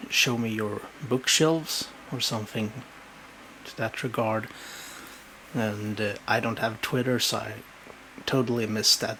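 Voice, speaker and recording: A man with a Swedish accent, whose first language is Swedish, casually showing his bookshelves at home and talking in halting bursts with many fillers. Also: lowish voice, vocal fry, sharp tone, slight background noise, low-quality recording. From Sweden. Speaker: book collector showing his shelves. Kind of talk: casual home video walkthrough. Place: home room.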